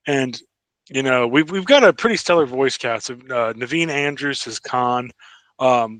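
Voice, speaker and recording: Bright-voiced man, keen podcast co-host. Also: medium pitch, no echo. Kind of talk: casual fan chat.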